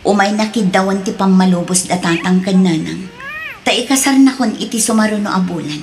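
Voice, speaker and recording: A woman's voice: medium pitch, brisk, a little hiss or hum, natural voice. A woman with a Filipino accent playing a hurt but resolute young mother in an Ilocano radio drama. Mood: sad, resolute. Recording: music behind the voice.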